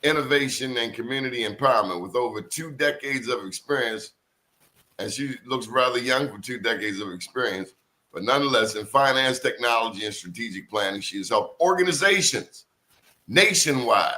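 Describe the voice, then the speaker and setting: A man's voice: medium pitch, normal speed, pushed and bright. A man hosting a talk show in a playful, bantering, streetwise style. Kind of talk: lively, bantering interview talk.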